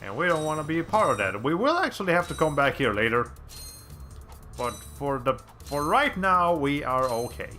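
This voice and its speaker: A male streamer with a raspy voice giving casual, rambling commentary while playing a game, often restarting his sentences. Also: on the high side, big pitch swings, moderate pace, sharp tone, faint music behind. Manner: rambling, chatty, frequent restarts.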